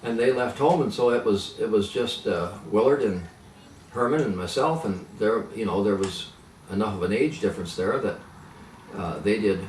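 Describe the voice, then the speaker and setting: An older man who grew up speaking Pennsylvania Dutch, reminiscing slowly with many pauses and fillers. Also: on the low side, a little mellow. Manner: halting, many fillers and restarts. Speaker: interviewee. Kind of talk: reminiscing in conversation. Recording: echoey room, mic at a distance, faint noise.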